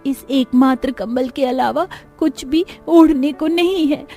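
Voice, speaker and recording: A woman's voice: sits high, measured pace, dark tone, faint music behind, voice pushed up. A woman voicing an anxious young daughter of a poor family in a cartoon, plaintive and childlike.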